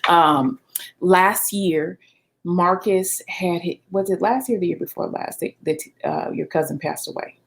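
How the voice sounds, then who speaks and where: A woman's voice: lowish voice, unhurried, creaky. A middle-aged woman talking earnestly with her husband about family and communication, with frequent fillers. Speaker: wife co-host. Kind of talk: casual conversation.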